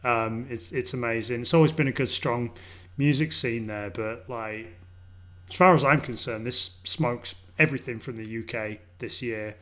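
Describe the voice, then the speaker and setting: A man with an English accent chatting casually as a podcast host about music, sweary, enthusiastic and halting. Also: medium pitch, fairly bright tone. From born in London, grew up in Leeds, Yorkshire. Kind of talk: casual music review chat. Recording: dull lo-fi audio.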